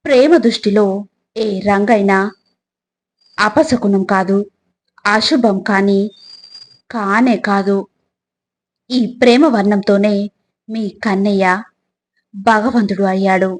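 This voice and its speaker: A woman reading scripted motivational reflections in a measured, instructive way, addressing the listener directly. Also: medium pitch. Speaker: motivational quotes narrator.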